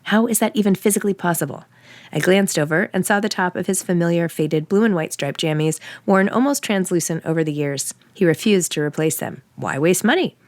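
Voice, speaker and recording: A woman's voice: on the low side, fairly quick, no echo. A middle-aged woman with an American accent, an author talking casually and reading aloud in the first person. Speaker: author and podcast host.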